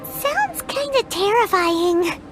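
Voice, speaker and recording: A woman voicing a small, childlike, excitable and easily worried fairy companion in a video game, with a squeaky voice. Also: slow pace, full character voice. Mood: wary, curious. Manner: exclamatory, refers to self in third person. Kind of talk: video game character acting. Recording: loud music under the voice.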